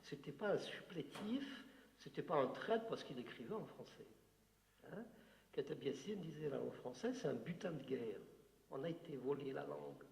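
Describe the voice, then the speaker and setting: Algerian-born man, fairly high, gravelly voice, rambling emphatic lecture, reverberant hall. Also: animated, moderate pace, a little mellow. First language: Algerian Arabic. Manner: digressive, emphatic, many filler "hein" and "euh". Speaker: author giving a talk. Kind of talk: unscripted lecture answering a question. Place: hall with audience. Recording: roomy hall with long reverb.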